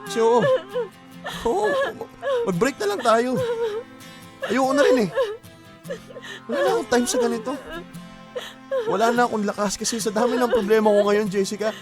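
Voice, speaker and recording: A man's voice: very high voice, animated, moderate pace, pauses often, over loud music. A young actor playing a worn-out male student boyfriend in a radio drama, blunt and exasperated, at times near tears. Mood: weary, tearful. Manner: blunt and exasperated, turning tearful.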